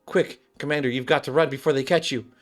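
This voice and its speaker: A man's voice: medium pitch, steady tone, fast talker, voice pushed up, close to an everyday voice. A man voicing a protective game ally urgently warning a commander. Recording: background game music.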